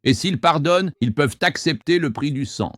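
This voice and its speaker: A man hosting a call-in debate, forceful and argumentative, who often cuts in on his callers. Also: fairly high pitch, expressive, moderate pace, dry recording, studio quality. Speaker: host.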